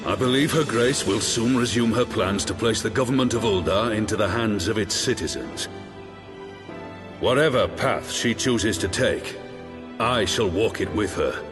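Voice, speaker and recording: A devoted royal guardian from a fantasy game, voiced by a man, grave and courtly. He speaks deliberately in a lowish voice with archaic wording, over clearly audible music. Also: lightly acted. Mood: solemn, devoted. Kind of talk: fantasy video game acting, archaic speech.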